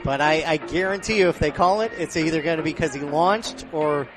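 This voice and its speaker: High-voiced man calling football play-by-play. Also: fairly quick, rough recording. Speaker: radio play-by-play announcer. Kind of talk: live football play-by-play commentary. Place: outdoor football stadium broadcast position. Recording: clear outdoor stadium background noise.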